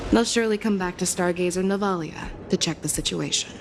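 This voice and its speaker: A woman acts out a composed female general in a video game. The voice sits low, with measured, analytical delivery and calm authority. Music plays clearly behind it. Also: close to an everyday voice. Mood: calm, assured. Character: composed, shrewd female general tracking infiltrators, commanding. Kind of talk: scripted video game dialogue acting.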